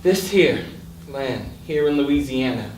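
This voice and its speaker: A man's voice: mid-range voice, expressive, slow pace, a little mellow. A man acting a mournful Louisiana Gulf native in a staged dramatic reading. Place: live staged reading indoors. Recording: distant, echoey room, faint noise.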